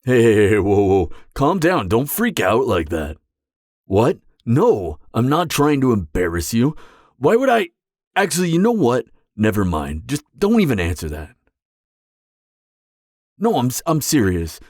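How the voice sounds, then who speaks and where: A man's voice: medium pitch, huge pitch swings, unhurried, a little mellow, no echo, clean studio sound. A man playing an awkward, earnest, by-the-book supervillain on a date in an audio drama, speaking in a hesitant, stammering, matter-of-fact way. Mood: placating, defensive. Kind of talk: conversational roleplay audio drama.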